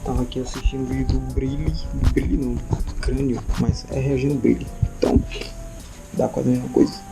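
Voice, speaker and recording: A man's voice: medium pitch, level tone, a little fast, creaky, music in the background, low-quality recording. A young man with a Brazilian accent, chatting in a slangy, laid-back, joking way in a home vlog. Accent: Brazilian Portuguese. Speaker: vlogger. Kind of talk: casual home vlog chat.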